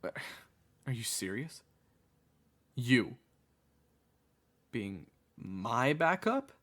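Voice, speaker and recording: A man's voice: medium pitch, sing-song, drawn-out words, a bit bright, exaggerated voice. A man playing an earnest, easily flustered young hero who also narrates a fantasy audio drama. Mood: uneasy. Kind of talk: audio roleplay acting with first-person narration.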